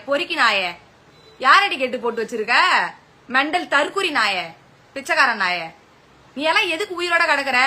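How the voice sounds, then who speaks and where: A woman's voice: high voice, sharp tone. A woman with a colloquial Tamil Nadu accent in an angry informal rant, scolding and threatening someone abusively.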